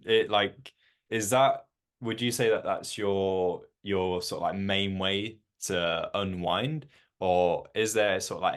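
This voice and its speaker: A man's voice: low-pitched, fairly bright tone. A man hosting a casual interview, asking long rambling questions filled with "like". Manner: rambling questions full of "like" and restarts. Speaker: interview host.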